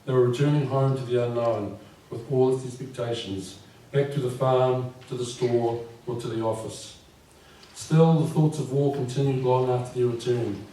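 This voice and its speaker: A man in his fifties with a croaky voice, reading his poems at a live event and speaking gently and unhurriedly. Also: medium pitch, steady tone, normal speed, a little hiss or hum. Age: 50s. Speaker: poet. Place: live venue with audience. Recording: echoey room from far off.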